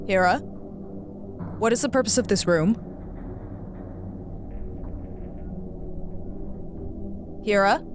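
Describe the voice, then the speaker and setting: An actress playing a bitter, commanding spaceship captain in an audio drama, speaking tersely with sardonic distrust. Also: female voice, mid-range voice, moderate pace, close to an everyday voice. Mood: wary, puzzled. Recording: music under the voice. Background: dramatic music.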